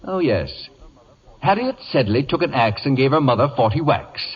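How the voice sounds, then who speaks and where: A muffled old radio broadcast of an imperious, middle-aged wit. His slightly husky voice swoops theatrically through pompous, mock-courtly sarcasm and gleeful scheming. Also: medium pitch, sing-song, moderate pace. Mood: smug menace. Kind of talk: 1940s radio comedy acting.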